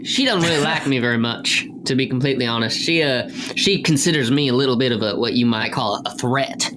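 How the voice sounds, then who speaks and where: A man's voice: medium pitch, a little fast, noisy background, natural voice. A man playing a folksy bounty hunter in an improvised tabletop game, rambling and hesitant as he talks. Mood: hesitant, candid. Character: folksy, rambling male bounty-hunting tracker. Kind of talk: improvised tabletop role-play. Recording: music behind the voice.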